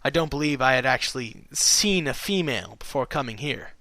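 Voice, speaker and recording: Man as a bashful, bright-voiced young stallion. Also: mid-range voice, lively intonation, brisk, dry recording. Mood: quiet, resigned. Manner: quiet, muttering, hesitant. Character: bashful, self-deprecating male pony, awkward narrator hero.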